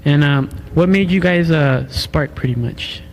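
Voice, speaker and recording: A man hosting a podcast, asking questions in a relaxed, casual way. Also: on the high side, unhurried. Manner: laid-back, says "that's dope". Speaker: podcast host. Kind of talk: casual interview. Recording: noisy mic with clicks.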